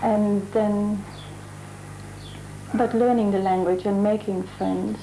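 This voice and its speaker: A woman from the Netherlands, a mural artist, talking thoughtfully in a documentary interview and pausing to find her words. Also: medium pitch, flat delivery, mellow, slight background noise, low-quality recording. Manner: chatty.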